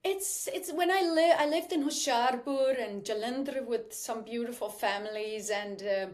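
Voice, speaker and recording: A woman from Denmark, a Danish native speaker, talking earnestly as a guest singer in a podcast interview, often restarting her sentences. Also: fairly high pitch. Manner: warm, heartfelt, with restarts.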